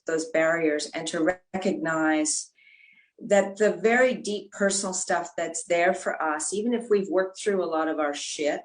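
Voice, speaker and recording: A woman's voice: fairly low pitch, low-quality recording. A woman speaking earnestly as a panel speaker, thinking aloud in long, flowing sentences. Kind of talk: reflective panel talk.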